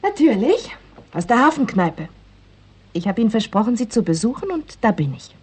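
An actress in a 1960s radio play, playing a cheeky, self-assured and frank young woman in her twenties with a husky voice. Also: on the high side, sing-song, moderate pace, darkish tone. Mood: confident. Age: 20s. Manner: teasing, frank.

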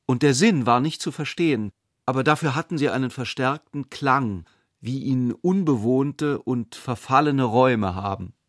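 A man with a mid-pitched voice narrates literary prose for a radio play. He phrases it deliberately and evenly measured, in a close, dry acoustic. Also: dry recording.

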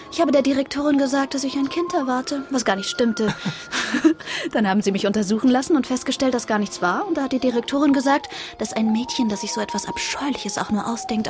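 High-voiced flighty young actress. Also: female voice. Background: music.

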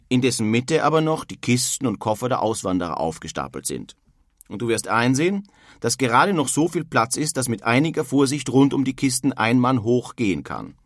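An actor playing a wryly bitter emigrant from past centuries, reading out letters expressively in a measured way for a radio feature. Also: male voice, mid-range voice, dry recording, studio quality. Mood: grim, emphatic.

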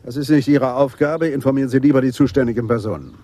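An actor playing a skeptical, wry senior police detective in a German radio play. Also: male voice, mid-range voice, fast talker, dark tone, natural voice.

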